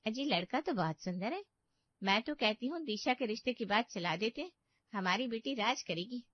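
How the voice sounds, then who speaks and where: A woman's voice: fairly high pitch, expressive, normal speed, dry recording. A woman voicing a grasping, pushy middle-aged mother in a cartoon story, with haughty manner. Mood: eager, fawning. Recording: muffled dry studio recording.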